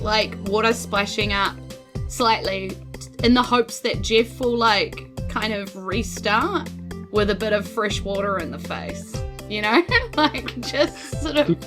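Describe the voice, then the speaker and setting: A woman's voice: fairly high pitch, measured pace. A woman chatting casually as she improvises as a player in a tabletop game. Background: music under the voice.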